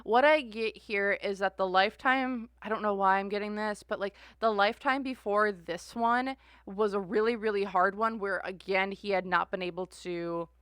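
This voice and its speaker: A woman's voice: mid-range voice, moderate pace, hardly any pauses, a bit bright, smooth, dry recording, clean studio sound. A woman giving a casual tarot reading, rambling and filling her sentences with "like" and "uh.". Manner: rambling, heavy use of "like" and "uh". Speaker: tarot reader.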